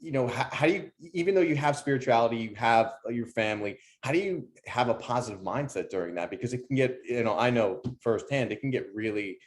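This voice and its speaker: A man's voice: mid-range voice, expressive, fairly quick, few pauses. A man hosting an interview, asking long, rambling questions with many restarts. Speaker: interview host.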